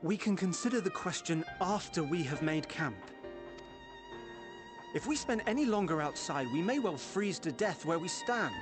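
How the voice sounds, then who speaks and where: High, animated, serious young adventurer. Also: male voice, normal speed, music in the background. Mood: serious, cautionary.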